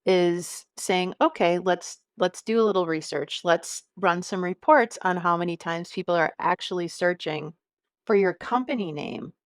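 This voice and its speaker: A woman's voice: on the low side, normal speed, clear, resonant tone, no room sound, clean studio sound. A woman in her fifties, a podcast guest talking about marketing in a friendly, conversational way, laughing easily and thinking aloud with fillers. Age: 50s. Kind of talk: conversational interview.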